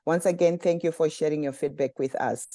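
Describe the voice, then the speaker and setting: A woman from Zimbabwe hosting a community webinar, reading formal prepared remarks in a measured way. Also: low-pitched, fairly even intonation, warm tone, no room sound. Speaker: communications director and webinar host. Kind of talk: scripted webinar introduction.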